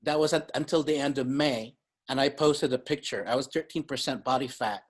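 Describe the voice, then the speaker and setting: A man in his fifties hosting a casual fitness panel, emphatic and quick to laugh. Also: mid-range voice, husky. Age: 50s. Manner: animated, emphatic, laughs often. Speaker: host.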